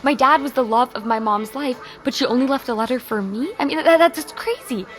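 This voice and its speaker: An American woman playing an earnest, grieving teenage high school girl in an audio drama, speaking haltingly and emotionally. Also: soft background music, lightly acted. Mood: urgent, determined. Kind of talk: naturalistic audio drama dialogue.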